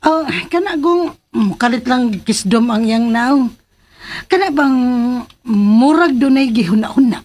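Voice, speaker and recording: Husky, kindly Cebuano Filipino aunt. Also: female voice, fairly high pitch, animated, measured pace, a little mellow. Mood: concerned, confiding. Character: concerned older aunt, kindly and watchful. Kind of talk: radio drama acting.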